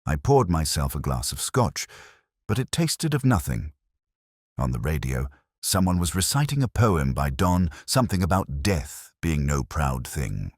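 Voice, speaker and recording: Guy doing a really deep melancholy british inspector telling his case, measured, literary, close dry sound. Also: dry recording, heavily acted. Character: world-weary, melancholy British male police inspector, middle-aged or older. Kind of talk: scripted first-person detective audio drama narration.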